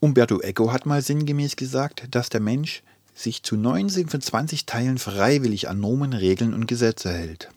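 An actor voicing a calm, thoughtful man in a radio play collage of social media posts, speaking in a measured, reflective, articulate way. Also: medium pitch, no room sound, studio quality, lightly acted. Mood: earnest.

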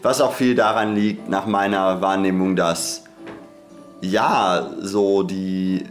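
A podcast co-host with an androgynous voice, chatting casually with playful, deadpan irony. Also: sits low, takes it slowly, soft background music. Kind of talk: casual chat.

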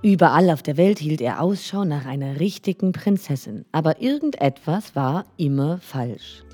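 Mellow, lowish female storyteller, gentle and expressive, bedtime fairy tale, faint music underneath. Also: moderate pace, breathy edge.

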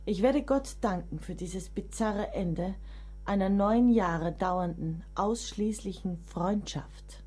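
An actress playing a proud, resigned middle-aged woman writer of the 19th century in a German radio play, measured and restrained. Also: medium pitch, dark tone, slightly rough, faint music behind. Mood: resigned farewell. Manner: measured, literary, restrained delivery.

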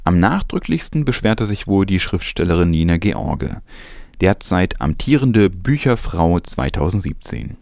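A man's voice: low-pitched, very animated, a little slow, vocal fry, a little mellow, no echo, studio quality. A man presenting scripted news with some commentary.

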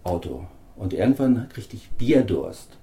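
A man's voice: lowish voice, big pitch swings, a little slow, mellow. A man from northern Germany interviewing a guest, chatty and telling long anecdotes. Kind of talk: casual interview chat. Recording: roomy echo.

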